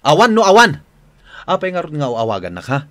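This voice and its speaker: A man's voice: fairly high pitch, huge pitch swings, a little fast, fairly bright tone. A man with an Ilocano accent playing a rude, sullen grown son in a radio drama, curt and snapping. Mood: curt, irritated. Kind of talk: Filipino radio drama acting.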